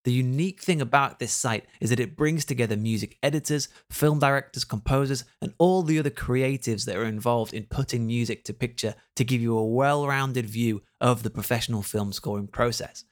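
A man hosting a podcast, reading a smooth scripted intro and then asking relaxed, friendly interview questions. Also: medium pitch, a little fast, few pauses. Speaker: podcast host.

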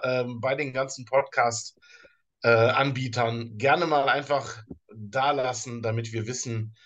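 A man's voice: mid-range voice, fairly bright tone. A man with a German accent co-hosting a casual sports podcast, talking hesitantly with restarts. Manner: hesitant, many fillers and restarts, slow in places. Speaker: podcast co-host.

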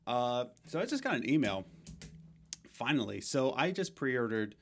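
A man's voice: medium pitch, very animated, moderate pace, fairly bright tone, a little hiss or hum. A young man co-hosting a casual gaming podcast, rambling with lots of fillers and restarts. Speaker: podcast co-host.

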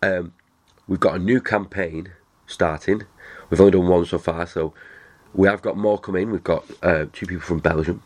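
A man's voice: deep voice, fairly even intonation, normal speed. A man hosting a podcast, chatty and rambling, a bit nasal from a cold. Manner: rambling, chatty, many restarts. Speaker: podcast host. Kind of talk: casual chat.

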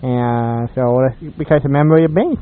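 A man hosting a casual radio chat about old-time radio, speaking haltingly with repeated words and restarts. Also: medium pitch. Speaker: radio show host. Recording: tinny, narrow phone-like radio line.

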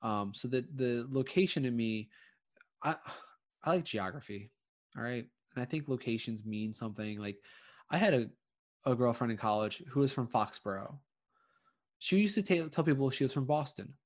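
A man from Connecticut in casual conversation, his voice sitting at an ordinary middle pitch. He rambles, piling up fillers and restarting his sentences as he talks. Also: expressive, normal speed. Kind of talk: casual chat.

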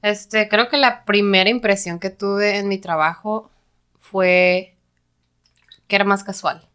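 A young Mexican woman with a Mexican accent, chatting casually on a podcast as a guest and telling lively stories with frequent laughter. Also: mid-range voice, slow, smooth. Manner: animated storyteller, laughs often.

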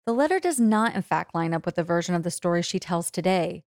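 A woman's voice: lowish voice, animated, fast, darkish tone, no echo, clean studio sound. A woman reading scripted narration as a podcast host.

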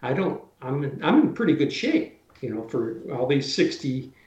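A man's voice: mid-range voice, sing-song, moderate pace, a little mellow, reverberant, highs cut off. A man in his sixties, a drywall taper, chatting casually as an interview guest and explaining his work in hands-on detail. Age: 60s.

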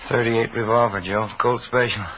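An actor playing a plainspoken police detective's partner, brief and matter-of-fact, in a 1950s radio crime drama. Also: male voice, mid-range voice, little pitch movement, normal speed, dull audio, natural voice. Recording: noisy vintage radio recording with clicks.

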